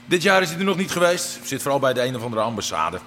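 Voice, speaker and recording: An actor playing a practical, questioning underworld sidekick in a naturalistic radio drama, talking casually and matter-of-factly. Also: male voice, medium pitch, big pitch swings, fast talker, sharp tone, in a large hall, slight background noise. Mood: practical, wary.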